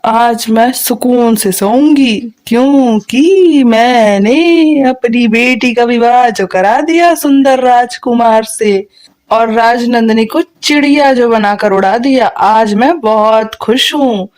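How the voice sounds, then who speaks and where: A woman's voice: fairly high pitch, takes it slowly, darkish tone. A woman voicing a scheming, two-faced queen mother in a cartoon, sweet-talking and theatrical. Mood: gloating. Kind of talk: cartoon voice acting.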